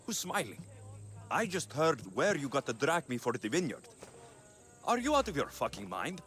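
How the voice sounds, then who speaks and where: A man's voice: fairly high pitch, very animated, moderate pace, a bit bright, faint music behind. A man playing a tough young mercenary in an ancient Greek video game, dry and menacing. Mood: exasperated. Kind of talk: dramatic video game acting.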